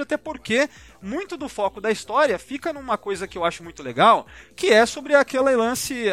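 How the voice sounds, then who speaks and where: A man's voice: high voice, sharp tone. A Brazilian man hosting a casual podcast, talking in an informal, slangy, rambling way as he comments on episodes. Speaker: podcast host.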